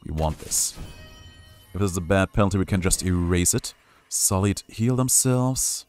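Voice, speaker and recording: Playful young male gaming streamer. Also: deep voice. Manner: playful, jokey, reads chat aloud. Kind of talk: live gameplay commentary.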